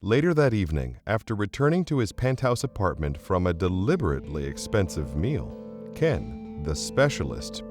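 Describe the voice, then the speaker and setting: Low, animated male narrator. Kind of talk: dramatic scripted narration.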